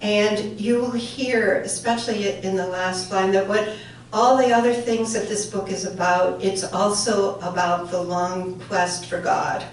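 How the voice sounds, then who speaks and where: A woman's voice: mid-range voice, steady tone, measured pace, a little hiss or hum. An older woman whose first language was Welsh, reading her poems at a luncheon and chatting hesitantly between them. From born in England, raised in central New York. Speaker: poet reading at a society luncheon. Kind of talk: poetry reading with spoken introductions. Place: banquet hall. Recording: very echoey hall from far off.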